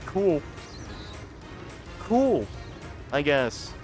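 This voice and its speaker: A man's voice: on the high side, big pitch swings, extremely slow, a little mellow, faint music behind. A young man chatting casually while streaming a video game, rambling and often stuttering over the starts of his sentences. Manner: rambling, repeats words when starting sentences. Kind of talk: casual live-stream chat.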